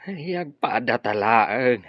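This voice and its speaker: A man's voice: mid-range voice, lively intonation, slow pace, dry recording, highs cut off. An actor playing an anxious middle-aged father with a fretful manner in an audio drama.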